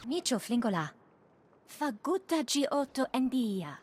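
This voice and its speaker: A woman's voice: sits high, big pitch swings, measured pace, darkish tone, a little hiss or hum. A woman playing a self-interested alien agent in a video game, with a businesslike manner. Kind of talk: scripted video game dialogue.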